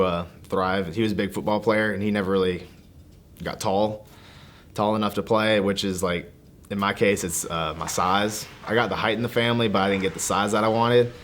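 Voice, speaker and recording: A young college pitcher talks plainly about himself in a low, unhurried voice, with a few hesitations. Faint background noise runs under the interview. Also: male voice, a bit bright. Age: young adult. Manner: casual, plain-spoken, hesitant.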